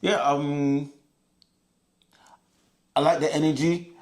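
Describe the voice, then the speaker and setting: A man's voice: on the high side, flat delivery, slow pace, darkish tone. A man with a Nigerian accent co-hosting a reaction video, casually judging entries. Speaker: co-host judging challenge entries.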